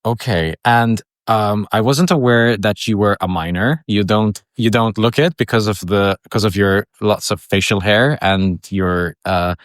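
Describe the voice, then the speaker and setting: A man co-hosting an improvised comedy podcast, asking curious, halting questions while playing it straight. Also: on the low side, no room sound, clean studio sound. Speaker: podcast co-host.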